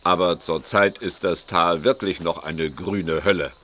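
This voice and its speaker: An actor in a German audio drama playing a genial, unhurried older gentleman. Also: deep voice, steady tone, soft background music.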